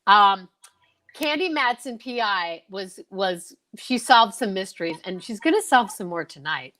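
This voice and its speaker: A chatty, jokey, excitable woman hosting a radio show with casual live banter. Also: mid-range voice, a little slow. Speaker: host of an old time radio show.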